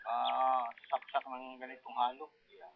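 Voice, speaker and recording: A man's voice: medium pitch, monotone, unhurried, creaky, phone-quality sound, a little hiss or hum. An older man, reminiscing casually in a rambling, colloquial, demonstrative way. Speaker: farmer recalling his working life.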